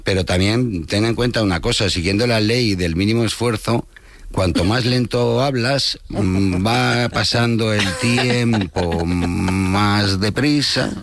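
Low-voiced man, unhurried. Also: a little hiss or hum. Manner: unhurried, reflective, deliberate. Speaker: comedy show host. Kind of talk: radio conversation. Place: radio studio.

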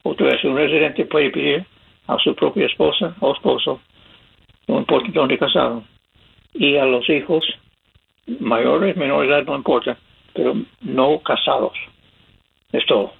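Raspy older man on a tinny line. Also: fairly high pitch, measured pace, long pauses. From California, USA. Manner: plain-spoken, laughs while telling stories. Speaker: immigration advice guest. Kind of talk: casual radio advice talk. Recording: tinny phone-line sound.

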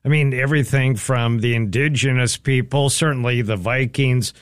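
Man, smooth clear voice, friendly radio host, dry close sound. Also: medium pitch, steady tone, normal speed, no echo. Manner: affable, agreeable, many short backchannels. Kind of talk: radio interview chat.

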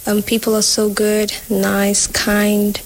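A young woman from South Africa, a high school senior, giving informal interview answers. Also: monotone, takes it slowly, rough recording. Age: teens to young adult, high school senior. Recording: clear ambient noise on location.

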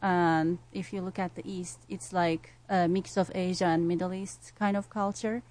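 A woman's voice: on the low side, flat delivery, normal speed, darkish tone. Woman from Turkey, earnest speaker. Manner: earnest, many fillers. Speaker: music educator. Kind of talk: casual interview answer.

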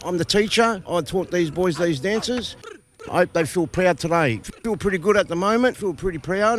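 Man, dance teacher, slightly high voice, talks haltingly with lots of fillers. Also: fairly bright tone, a little hiss or hum. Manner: halting, with many fillers and restarts. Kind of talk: casual interview.

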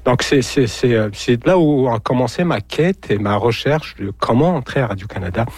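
A man's voice: mid-range voice, lively intonation, moderate pace, darkish tone, husky, no echo. A man from Algeria, a radio journalist, speaking as a guest in a radio interview and hesitating with many fillers and repeated words.

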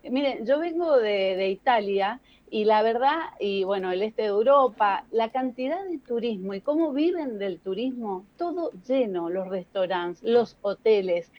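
A woman's voice: on the high side, animated, measured pace, hardly any pauses, highs cut off. An Argentine woman giving conversational news commentary, explaining things and addressing listeners directly. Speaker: radio or TV news columnist.

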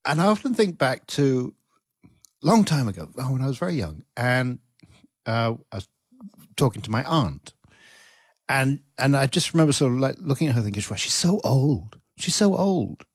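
Wry, husky-voiced man of sixty. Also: mid-range voice, very animated, moderate pace, no echo, studio quality. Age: 60s. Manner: wry, musing, self-mocking. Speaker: host. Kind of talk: casual chat.

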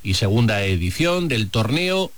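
A man reading the local sports news on morning radio in a brisk, even delivery. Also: on the low side. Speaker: sports newsreader.

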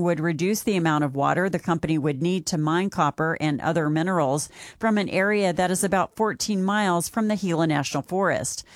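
A woman's voice: fairly low pitch, dry recording, clean studio sound. A woman reading a scripted radio news report as the reporter.

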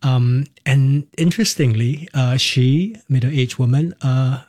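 A middle-aged man, a Cantonese speaker, reflecting on personal experience in a halting, candid way as an interview guest. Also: medium pitch, dark tone, no echo, clean studio sound. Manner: halting, candid, tags phrases with "right" and "you know". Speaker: interview guest, performer and counselor.

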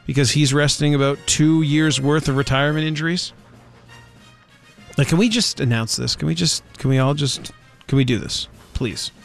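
Sports radio host type, man, sarcastic and rambling, faint music underneath. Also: a little high. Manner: rambling, sarcastic, many fillers. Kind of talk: casual sports talk radio.

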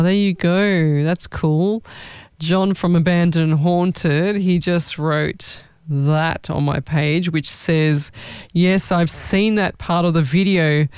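A man, a psychic medium hosting a live stream, chatting in a rambling, excitable way. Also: high voice, clean tone, no room sound. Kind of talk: live-stream reading chat.

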